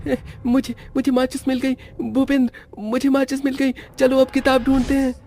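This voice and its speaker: A man voicing a scared, pleading schoolboy in an animated horror story. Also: very high voice, moderate pace, soft background music. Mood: urgent. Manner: pleading, repeating himself in fright. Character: scared schoolboy trying to survive a haunted school.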